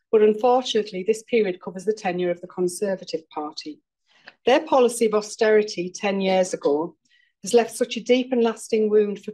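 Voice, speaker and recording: A middle-aged woman with a hoarse voice from a cold, delivering a prepared lecture from a podium in a plain-spoken, critical manner. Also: medium pitch, darkish tone, poor audio. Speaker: lecturer, prison governors' association president. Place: at a podium before a live audience.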